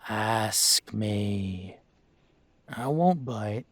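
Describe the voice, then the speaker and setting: A man playing a dragon mafia boss in an audio roleplay, speaking with measured, condescending menace and smug control. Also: fairly low pitch, lively intonation, no echo, heavily acted. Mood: smug, amused. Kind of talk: scripted roleplay monologue to the listener.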